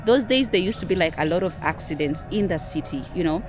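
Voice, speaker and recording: Young woman, Ugandan English accent, casual vlogger, chatty, slightly low pitch, faint background music. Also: lively intonation, a little fast. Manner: chatty, says "guys" constantly, drops to a low murmur on it. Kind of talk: casual talking-to-camera vlog.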